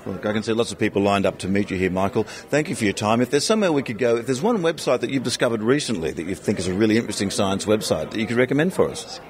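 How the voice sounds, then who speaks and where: An Australian man doing casual, playful roving interviews, phrasing his questions in a halting way. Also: fairly low pitch. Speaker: podcast interviewer. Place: busy convention venue. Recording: busy crowd chatter behind.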